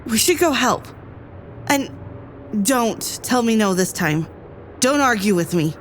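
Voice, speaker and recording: A woman's voice: fairly high pitch, expressive, slow pace, a little hiss or hum. A woman playing an anxious, headstrong academic on a space crew in an audio drama, sweary and argumentative. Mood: urgent.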